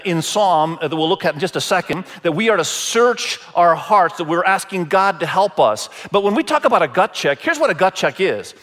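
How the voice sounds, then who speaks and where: A man from Indonesia preaching as a pastor, deliberate and repeating phrases for emphasis. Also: high voice, fairly bright tone. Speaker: pastor preaching to a church congregation. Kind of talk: sermon.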